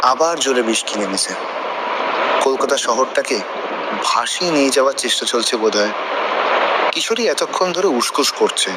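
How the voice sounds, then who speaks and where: A man as lively narrator amid loud noise. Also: medium pitch, moderate pace, pauses often, natural voice. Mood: observant, atmospheric. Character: male listener-narrator, curious and absorbed. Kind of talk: scripted story narration. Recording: loud background ambient noise.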